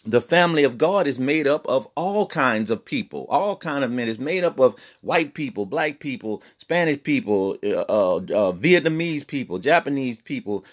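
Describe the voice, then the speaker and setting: A man's voice: mid-range voice, expressive. A man teaching a Bible lesson on a podcast, earnest, with many fillers and restarts. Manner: reads scripture in slow old-fashioned cadence.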